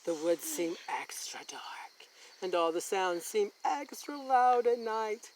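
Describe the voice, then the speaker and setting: A woman reading a children's picture book aloud as a story time host, in a deliberate, expressive way that points things out to young listeners. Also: medium pitch, slight background noise. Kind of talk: children's read-aloud narration.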